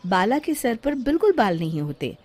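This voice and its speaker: A woman's voice: fairly high pitch, big pitch swings, normal speed, a little mellow, faint music behind. A woman reading scripted narration for a cartoon story, acting as the storyteller.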